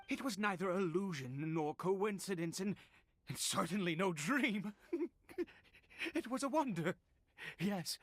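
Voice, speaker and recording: Give this to an anxious, devout man in a fantasy game. The voice is husky and breathy and swings widely in pitch. He speaks slowly and haltingly, stammering with dramatic fervour. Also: fairly bright tone, no echo, heavily acted. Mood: awed fervour. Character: anxious, fervent male believer, desperate and awestruck. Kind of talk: dramatic video game voice acting.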